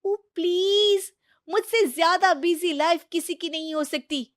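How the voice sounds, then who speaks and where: Woman playing spoiled young wife: high, loud, self-important, dramatic complainer for a family moral story. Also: unhurried, no room sound, studio quality. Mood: whiny, boastful. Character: arrogant, whiny, self-important young married woman, lazy daughter-in-law.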